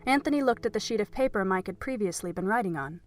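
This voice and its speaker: A woman with a mid-pitched voice reads scripted narration for an audio drama. She tells the story as narrator, with soft music underneath. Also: lively intonation, fast.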